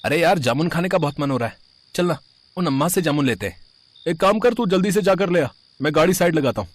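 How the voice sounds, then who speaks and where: A man's voice: a little high, expressive, fast, dry recording, voice pushed up. A man voicing a breezy young fellow in a storybook tale, informal and chummy.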